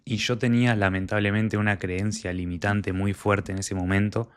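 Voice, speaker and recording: A man's voice: lowish voice, little pitch movement, normal speed, slight background noise. A young man from Argentina with an Argentine Spanish accent, telling his personal story in a candid, conversational way and quoting his own past thoughts. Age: young adult.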